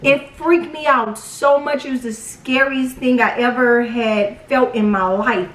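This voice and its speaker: A young woman telling a personal story casually, with animated, dramatic retelling and quoted dialogue. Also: a little high, a little slow. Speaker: vlog storyteller. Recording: roomy echo, distant from mic.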